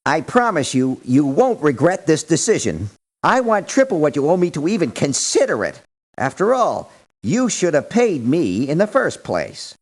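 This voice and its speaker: A man's voice: on the high side, big pitch swings, moderate pace. An actor playing a prim, principled male mercenary in a video game, speaking in formal, precise, politely reproachful sentences. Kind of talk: video game character voice lines.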